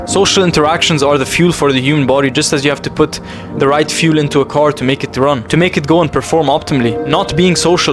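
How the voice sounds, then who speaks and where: Young male vlogger, fairly high voice, rapid earnest confessional delivery, repeats lines for emphasis, music bed underneath. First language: English. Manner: earnest and intense, repeats phrases for emphasis, calls listener bro. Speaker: self-improvement vlogger. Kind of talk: emotional confessional monologue to camera. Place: bedroom.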